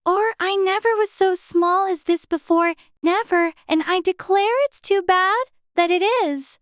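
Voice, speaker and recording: Little storybook girl, extremely high voice, fretful, prim, chatty, close dry sound. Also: unhurried, no room sound. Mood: upset. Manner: fretful, talking to herself in prim childish exclamations. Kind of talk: dramatized audiobook character acting.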